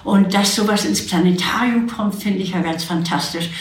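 A woman's voice: on the low side, normal speed. Chatty woman in a roomy space. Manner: enthusiastic, chatty. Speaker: radio play director. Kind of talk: interview answers. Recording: roomy echo.

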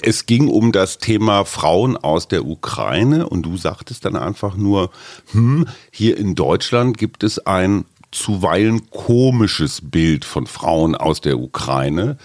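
A man's voice: low voice, animated, takes it slowly, a little mellow, dry recording, clean studio sound. A man hosting an interview, mostly affirming briefly and asking direct questions. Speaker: host. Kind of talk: conversational interview.

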